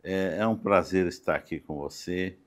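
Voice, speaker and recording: An older Brazilian man speaking as a guest in a conversational podcast interview, halting and often adding small check-in tags. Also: deep voice. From Campo Grande, Brazil. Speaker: interview guest, doctor and city councillor. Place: podcast studio.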